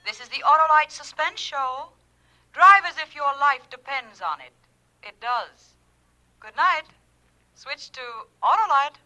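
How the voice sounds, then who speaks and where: A woman's voice: high-pitched, animated, unhurried, sharp tone, low-quality recording. A woman reading a scripted 1940s radio sponsor tag as an announcer.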